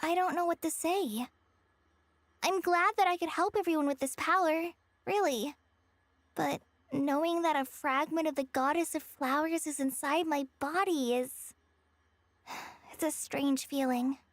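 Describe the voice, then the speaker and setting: Anime game role: tender, sincere young girl, very high pitch, hesitant, dry recording. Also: fairly even intonation, a little slow, dry recording. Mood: unsettled. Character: gentle, earnest young girl with a painful past. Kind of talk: anime-style video game voice acting.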